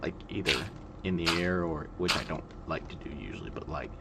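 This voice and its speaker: A man in his twenties giving calm, monotone, rambling gameplay commentary, full of fillers. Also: a bass voice, a little fast, creaky. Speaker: gaming video creator. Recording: loud background noise.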